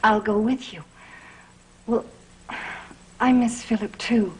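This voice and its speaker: An actress playing an earnest, devoted middle-aged mother and servant with a halting, melodramatic manner in a gothic soap opera. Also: fairly high pitch, fairly even intonation, slight background noise, poor audio. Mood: earnest, subdued. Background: music.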